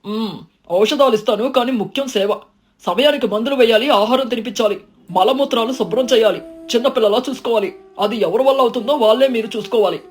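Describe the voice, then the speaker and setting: A woman's voice: on the high side, brisk, natural voice. Woman playing a solemn village physician, music behind. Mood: grave instruction. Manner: solemn, instructive. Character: grave village physician, an authoritative man.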